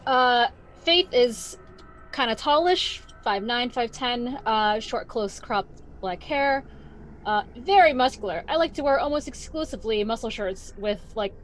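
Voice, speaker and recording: A woman's voice: a little high, a little slow, slight background noise. A woman playing a tabletop game, chatting casually about her character with lots of fillers. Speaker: player.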